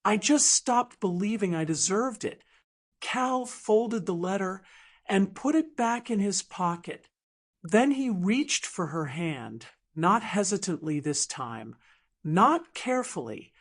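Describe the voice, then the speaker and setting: A woman reading a dramatic story in a measured, deliberate audiobook narration style. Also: on the low side. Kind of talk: scripted dramatic fiction narration.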